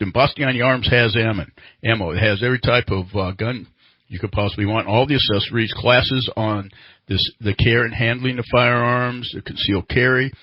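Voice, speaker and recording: A man's voice: fairly low pitch, husky, dry recording, dull audio. A man hosting live talk radio and reading sponsor spots conversationally, repeating words for emphasis, in a studio. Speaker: radio host. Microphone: studio microphone.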